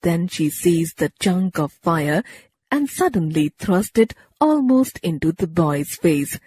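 A woman narrating a children's story in a measured, expressive storybook style. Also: on the low side, slightly rough, no echo.

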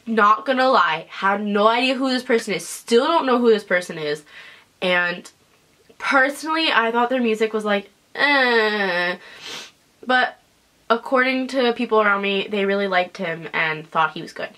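A young woman vlogging casually from her bedroom, chatty and animated, with a congested, sniffly voice, talking to a camera in front of her. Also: mid-range voice, normal speed. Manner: chatty and animated, lots of "like" and "whatever". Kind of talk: casual storytelling vlog. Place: small bedroom, indoors. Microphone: camera in front at desk distance.

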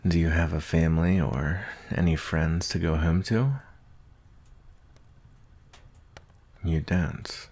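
A man playing a gruff but tender werewolf bounty hunter in an ASMR roleplay, soft-spoken and gentle, with hesitant pauses. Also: heavily acted. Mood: tender, bashful. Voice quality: very deep, gravelly, husky.

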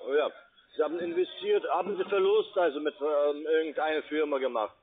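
A non-native German speaker, a man with a foreign accent, making a halting, repetitive sales pitch. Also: steady tone. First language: not German. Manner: halting, repetitive, tag-question fillers. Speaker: call-centre caller pitching investments. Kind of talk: sales pitch on a phone call. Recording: tinny narrowband phone line.

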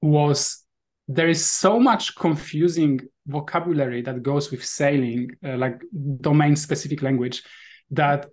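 A man's voice: a little high, unhurried, a little mellow. A man in his thirties from Poland with a Polish accent, a guest on a video call, explaining things thoughtfully with many fillers and restarts. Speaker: guest, VR sailing app developer. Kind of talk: casual interview answers.

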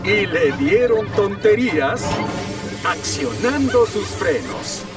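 A man's voice: high voice, expressive, takes it slowly, recorded from a distance, loud music behind the voice, over a noisy crowd. A man narrating a storybook-style cartoon.

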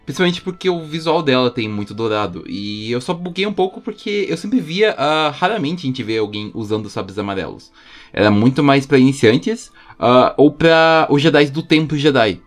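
Male YouTube host, Brazilian accent, medium pitch. Informal, keen fan commentary with slang, light music underneath. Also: normal speed. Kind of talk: casual fan commentary voice-over.

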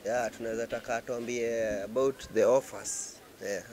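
A man's voice: mid-range voice, steady tone, moderate pace, noisy background. A young man with a Kenyan accent hosting a casual interview, switching between Swahili and English, on a handheld mic. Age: young adult. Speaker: show host, interviewer. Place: inside a supermarket. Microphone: handheld microphone. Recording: music playing behind the voice. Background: music.

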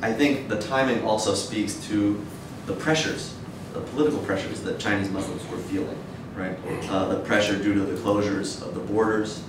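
Echoey, low-voiced male lecturer. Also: steady tone, normal speed, hardly any pauses. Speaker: academic lecturer. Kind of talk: academic lecture to teachers. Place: dim wood-shelved seminar room with audience, indoors. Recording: echoey room, distant mic, noisy rumble.

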